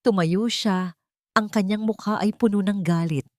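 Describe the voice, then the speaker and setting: A woman's voice: mid-range voice, steady tone, a little slow, a little mellow, dry recording, clean studio sound. A woman delivering dramatic scripted story narration.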